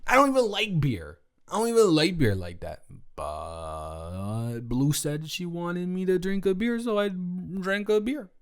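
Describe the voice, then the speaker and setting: A man's voice: high-pitched, sing-song, measured pace, full character voice. A young man playing a playful drunk college roommate in an intimate audio roleplay, rambling, slurring, joking and repeating himself. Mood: chatty, rambling. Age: young adult.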